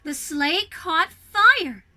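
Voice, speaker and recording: A woman reading a storybook to children as a snow queen, expressive and speaking directly to her young listeners. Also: very high voice, drawn-out words.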